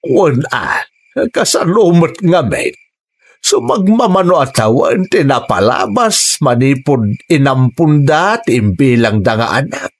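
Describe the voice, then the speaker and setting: Slow, raspy henpecked Ilocano husband. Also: male voice, mid-range voice, big pitch swings. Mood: chatty explaining. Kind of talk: Ilocano radio drama acting.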